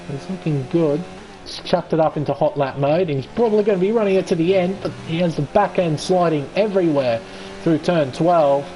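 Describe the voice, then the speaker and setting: An Australian man calls a sim racing qualifying session in a chatty, enthusiastic play-by-play. His voice sits a little higher than most men's, with a mellow, soft tone. Faint background noise runs under his voice. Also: low-quality recording. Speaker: esports race commentator. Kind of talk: live sim racing commentary.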